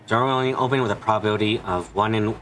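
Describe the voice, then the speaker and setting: Male fan-dub narrator for a visual novel: brisk pace, forceful bright tone, expressive read. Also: lowish voice, soft background music. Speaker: fan dubber reading a visual novel aloud. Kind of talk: scripted narration with character dialogue, fan dub.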